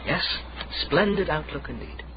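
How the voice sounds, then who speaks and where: An actor playing a smooth, probing gentleman with scholarly pretensions in a radio drama. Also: a little high, lively intonation, normal speed, natural voice. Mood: suave, probing. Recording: muffled radio drama, clear noise.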